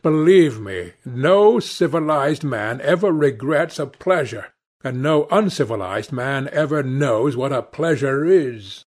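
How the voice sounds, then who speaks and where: A man's voice: mid-range voice, lively intonation, measured pace, darkish tone, voice pushed up. A man voicing a witty, cynical aristocrat in an audiobook, with a nonchalant, pompous, epigrammatic manner. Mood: languid cynicism. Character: languid witty cynical male aristocrat, urbane and teasing. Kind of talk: audiobook character dialogue.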